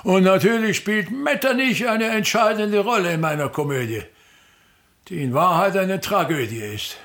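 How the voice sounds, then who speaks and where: An actor playing an embittered, pompous aging state actor in a radio play, an older man who declaims grandly and complains querulously with dramatic pauses. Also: high voice, a bit bright. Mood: pompous, imperious. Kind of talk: theatrical radio play monologue.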